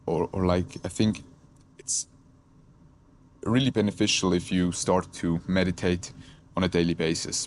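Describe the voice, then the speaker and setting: A man with a Swiss German accent speaks to camera in a low, deep voice, pausing and restarting as he hunts for the right English words. His tone is reflective and unhurried, like a personal vlog. A faint hum of room ambience sits behind him. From Switzerland. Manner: hesitant, searching for words, frequent restarts. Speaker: content creator. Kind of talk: reflective casual talk to camera.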